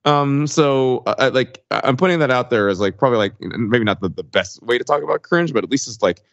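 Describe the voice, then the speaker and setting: A man's voice: medium pitch, animated, fairly quick, dry recording, dull audio. A man discussing ideas casually on a podcast, tentative and often correcting himself mid-sentence. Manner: tentative, hedging, frequent self-correction. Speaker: podcast panelist.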